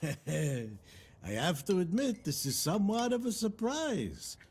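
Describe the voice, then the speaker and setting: An actor playing a smug, sly loan shark in a video game cutscene, talking slowly and mockingly. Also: male voice, huge pitch swings, darkish tone, a little hiss or hum, full character voice. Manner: slow, mocking, theatrical, with swooping pitch.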